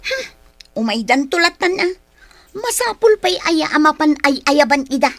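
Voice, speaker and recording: A woman's voice: high-pitched, very animated, normal speed, fairly bright tone, dry recording, full character voice. A woman playing a sulky, gossipy young woman in a radio drama, with an Ilocano accent. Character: sulky young woman who badmouths her older sister.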